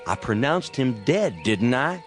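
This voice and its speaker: An actor playing a dignified, defensive small-town American doctor in a radio drama, speaking in a measured way. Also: male voice, mid-range voice, very animated, faint music behind. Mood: defensive, firm.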